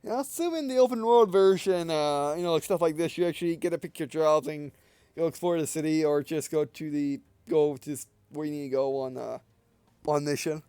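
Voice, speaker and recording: A man with a soft, dark tone comments casually on a game. He rambles and hesitates in an offhand way, recorded dry and close to the microphone. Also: a little high, a little fast, clean tone, no echo. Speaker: gamer playing and commenting on a visual novel. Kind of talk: casual let's play commentary.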